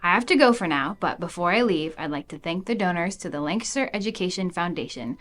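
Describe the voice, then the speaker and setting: A woman reading a children's storybook aloud in an animated narrating style. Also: fairly low pitch, quick pace. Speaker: storybook reader.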